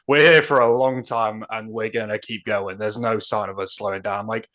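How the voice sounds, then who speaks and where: A man's voice: on the low side, fairly even intonation, moderate pace, a bit bright. Joking male podcast co-host. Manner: joking, teasing. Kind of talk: casual podcast chat.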